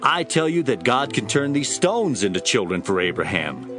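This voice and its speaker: An actor playing a fiery desert preacher in an audio Bible drama, exhorting forcefully. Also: male voice, mid-range voice, sing-song, moderate pace, over music, natural voice. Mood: stern, scolding. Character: John the Baptist, fiery male desert preacher.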